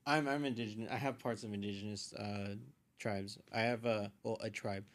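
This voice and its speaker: A man's voice: lowish voice, fairly even intonation, normal speed. A man chatting informally as a podcast guest, telling personal stories in a slangy, meandering way. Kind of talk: casual personal storytelling.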